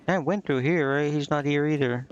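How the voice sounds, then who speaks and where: A man casually commentating a game live and reading its text aloud, thinking out loud in a rambling way and stumbling over unfamiliar words. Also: fairly high pitch, normal speed, lo-fi sound, a little hiss or hum. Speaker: game streamer.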